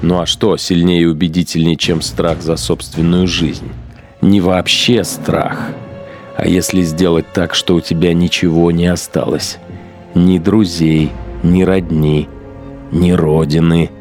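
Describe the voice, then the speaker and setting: Low-voiced, sneering military commander. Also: male voice, level tone, measured pace, a little mellow. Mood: musing, menacing. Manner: coldly musing, sneering, coarse-mouthed. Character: cynical, menacing male commander of a punitive battalion. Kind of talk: radio drama monologue. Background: music behind the voice.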